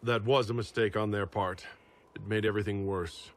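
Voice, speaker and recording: An actor playing a calm, diplomatic leader with a husky voice and an even temper in a fantasy video game. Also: male voice, fairly low pitch, moderate pace, natural voice. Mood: composed. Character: composed, diplomatic male Inquisition leader. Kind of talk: video game dramatic acting.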